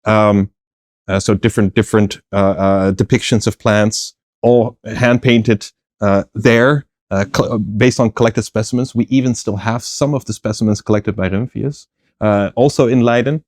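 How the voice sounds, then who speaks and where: Guy explaining plants, kinda low voice, lots of ums and restarts, dry close sound. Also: steady tone, moderate pace, a little mellow, dry recording. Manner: thoughtful, many fillers and restarts, laughs lightly. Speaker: guest, plant philosopher. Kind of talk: conversational interview answers.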